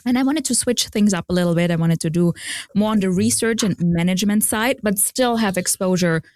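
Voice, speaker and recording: Woman, Austrian accent, podcast guest, friendly, self-deprecating, mid pitch, dry sound. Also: fairly quick, a little mellow, no room sound. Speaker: portfolio manager guest. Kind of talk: podcast interview.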